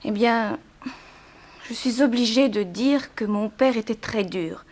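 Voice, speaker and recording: A woman's voice: on the high side, slow pace, a little mellow. An actress playing a sorrowful young woman in a 1960s French radio drama, restrained and plaintive. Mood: bitter, sorrowful. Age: young adult. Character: sorrowful young daughter of a harsh plantation owner.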